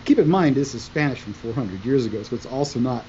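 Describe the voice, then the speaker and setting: A middle-aged man whose first language is Italian, telling stories as a podcast guest over a video call from home, talking in an animated way. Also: medium pitch, fast talker, highs cut off, a little hiss or hum. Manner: animated, gestures while talking. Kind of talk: conversational storytelling. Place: room at home, indoors. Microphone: video call, wearing headphones.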